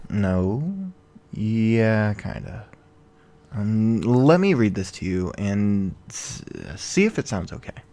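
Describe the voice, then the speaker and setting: A man's voice: fairly low pitch, sing-song, slow pace, dark tone. A man playing a weary, self-doubting investigative podcaster in a naturalistic audio drama, talking in a hesitant, conversational way. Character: male journalist podcaster, weary, anxious, self-doubting boyfriend.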